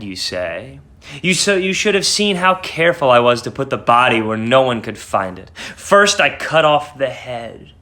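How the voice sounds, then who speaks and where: A man playing a mad, guilt-ridden murderer narrating a horror story, dramatic, shifting between hushed and frantic. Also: medium pitch. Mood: cold, boastful. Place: small home room.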